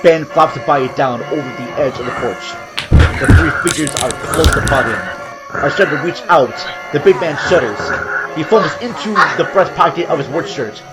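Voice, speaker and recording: A man narrating a scripted horror audio drama in a dramatic, descriptive storytelling manner, with loud music behind him. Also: fairly high pitch, moderate pace, rough recording.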